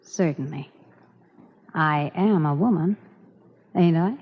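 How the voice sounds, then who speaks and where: A woman's voice: low-pitched, slow pace, husky, full character voice. An actress playing a spirited frontier woman in a 1940s radio drama. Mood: playful, loving. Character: spirited frontier woman Dixie, later an old-timer widow remembering. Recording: dull vintage radio recording, music behind.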